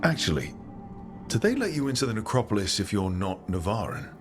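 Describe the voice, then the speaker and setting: Easygoing low-voiced young hero. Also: male voice, very animated, brisk, faint music behind. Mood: casual, wry. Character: easygoing young male rebel hero leading companions. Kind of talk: game dialogue acting.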